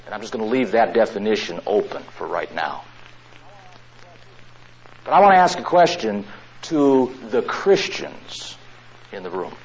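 A man preaching a sermon to a room of listeners, drawing out his words with long pauses. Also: fairly low pitch, expressive, slight background noise. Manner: slow, drawn-out phrasing with long pauses. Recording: dull lo-fi recording.

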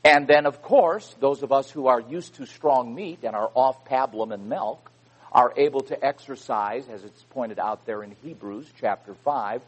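A man preaching a sermon, reading scripture with loud emphasis and dropping into quiet asides. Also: medium pitch, normal speed, slightly rough, rough recording.

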